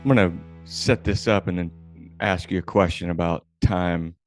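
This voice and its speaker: A man's voice: low-pitched, steady tone, normal speed, faint music behind. A man co-hosting a casual podcast, reading an ad spot and setting up questions in a playful way. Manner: brief backchannel, playful setups. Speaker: podcast co-host. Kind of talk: casual chat and read ad spot.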